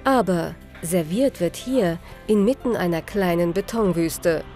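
A woman with a Swiss German accent narrating a TV report in a crisp, scripted voice-over. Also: on the low side, measured pace, dark tone. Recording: voice-over with music behind.

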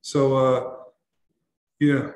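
A young man, a basketball player, answering questions at a postgame press conference, halting and repeating words with many fillers. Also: mid-range voice, flat delivery, a little mellow, echoey room. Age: young adult. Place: press room in front of a backdrop.

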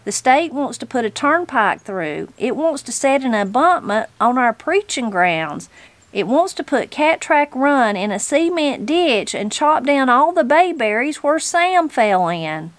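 A woman's voice: high voice, very animated, unhurried, no echo, pitched above the performer's own voice. A woman voicing a feisty old backwoods granny, blunt, folksy and indignant, as a storybook character.

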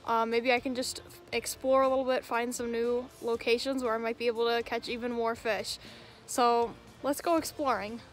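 A woman's voice: fairly high pitch, fairly even intonation, normal speed. A young woman chatting casually outdoors, as a fishing vlogger talking to a handheld camera. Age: young adult. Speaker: vlogger and angler. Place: outdoors at a creek bank. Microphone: handheld camera at arm's length.

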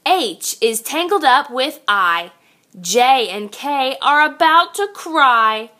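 A woman reading a picture book aloud to young children like a teacher, expressive and sing-song. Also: high voice, slow pace.

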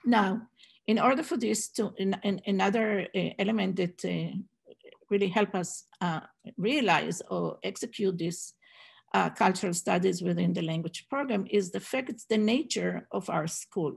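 Middle-aged woman, raspy and a little fried, hesitant conference presenter on a video call. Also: medium pitch, moderate pace. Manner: hesitant, many fillers and restarts. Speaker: Hebrew program director presenting at a conference. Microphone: video call webcam.

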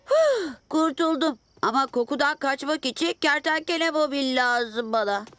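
Woman voicing a very high-pitched, timid little boy superhero. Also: lively intonation, moderate pace, a bit bright. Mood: worried. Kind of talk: children's animated cartoon dub.

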